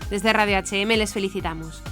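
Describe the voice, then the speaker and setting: A woman presenting scripted news in a formal, measured way. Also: medium pitch. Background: background music.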